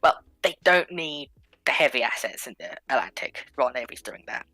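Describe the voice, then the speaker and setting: A woman's voice: very deep voice, lively intonation, normal speed, pushed and bright, no echo. A woman in a casual panel discussion, explaining her points in an assertive way.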